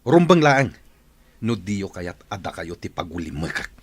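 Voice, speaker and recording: An actor playing a scheming, envious, rich village boss in an Ilocano radio drama, irritable and domineering, with an Ilocano accent. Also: male voice, sits low, very animated, unhurried, crowd noise, exaggerated voice. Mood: menacing insistence.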